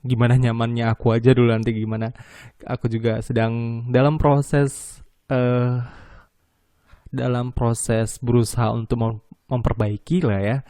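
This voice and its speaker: A man's voice: mid-range voice, level tone, measured pace, long pauses, warm tone, no room sound. A young man from Medan hosting a solo podcast, talking casually and hesitantly, often restarting and apologizing. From Medan, North Sumatra. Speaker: podcast host.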